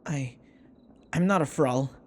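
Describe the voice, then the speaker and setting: A man's voice: on the high side, takes it slowly. A man playing a devoted, nervous servant of a vampire mistress in an audio roleplay, stammering and pleading. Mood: desperate, defiant. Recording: echoey, reverberant room with faint noise.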